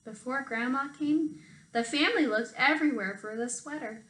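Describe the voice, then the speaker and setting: Bright, high-voiced woman reading a children's storybook. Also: normal speed. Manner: expressive, emphasizes phrases for children. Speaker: storytime reader for children, teacher. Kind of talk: animated picture-book read-aloud.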